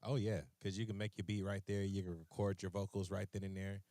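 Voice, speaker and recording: A man's voice: deep voice, level tone, a little fast, mellow, no room sound. A man hosting a music interview in an informal, slangy way, repeating phrases for emphasis. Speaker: music show host, interviewer. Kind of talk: casual interview chat.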